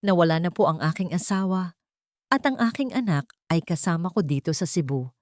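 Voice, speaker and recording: Woman playing a guarded, low-voiced mother. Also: lively intonation, fairly quick, darkish tone, dry recording, clean studio sound, close to an everyday voice. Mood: guarded, sorrowful. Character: guarded, polite single mother posing as a caregiver.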